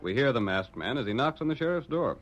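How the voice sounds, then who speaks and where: A man's voice: medium pitch, expressive, fast. A man giving dramatic, scripted narration in the style of an old-time radio western. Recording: muffled vintage radio recording. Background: music.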